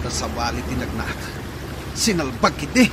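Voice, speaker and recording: A man's voice: mid-range voice, big pitch swings, moderate pace, natural voice. A man with an Ilocano accent playing a worried, scheming ferry crewman in a radio drama, speaking urgently. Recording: loud crowd and vehicle noise behind.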